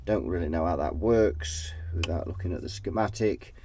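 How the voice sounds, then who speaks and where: Low-voiced man with a stuffy head cold. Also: normal speed, noisy background. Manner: thinking aloud, trailing off and restarting. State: head cold, nasal and sniffly. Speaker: amp repair technician. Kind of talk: casual workshop repair walkthrough. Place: repair workbench.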